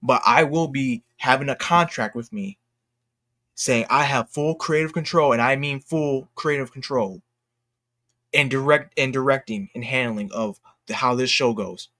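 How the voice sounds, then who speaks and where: A man giving casual, opinionated livestream commentary in a blunt, emphatic way, haltingly repeating his phrases for emphasis. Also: mid-range voice, sharp tone, no room sound, clean studio sound. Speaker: streamer and commentator.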